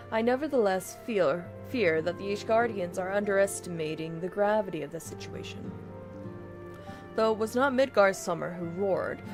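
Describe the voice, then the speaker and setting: A woman's voice: medium pitch, natural voice. A woman voicing a grave, formal scholar from a fantasy game, reading archaic dialogue aloud, with music behind her.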